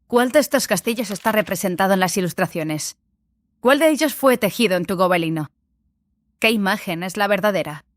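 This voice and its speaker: A woman's voice: mid-range voice, lively intonation, brisk, natural voice. A woman playing an inquisitive, defiant young adept in an audiobook drama, earnest and questioning. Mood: earnest, curious.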